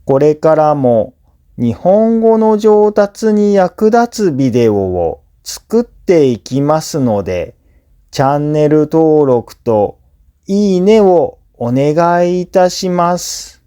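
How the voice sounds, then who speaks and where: A man who is a native Japanese speaker, reading scripted listening practice for learners in a clear, simple explanatory way. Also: fairly high pitch, sing-song, slow, dark tone. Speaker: language teacher and narrator.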